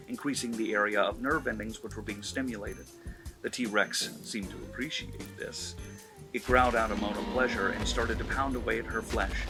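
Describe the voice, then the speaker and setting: A man hosting a comedy channel, giving an erotic story a mock-serious dramatic reading. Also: mid-range voice. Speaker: narrator and host.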